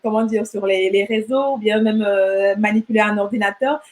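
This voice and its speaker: A woman's voice: medium pitch, steady tone, moderate pace, a little mellow. A middle-aged woman from Rwanda, chatting animatedly as an interview guest, often repeating words as she restarts her sentences. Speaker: guest entrepreneur. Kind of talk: casual interview chat.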